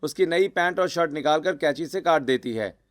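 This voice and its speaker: A man's voice: on the high side, normal speed, dry recording, studio quality. A man reading a scripted story as its narrator.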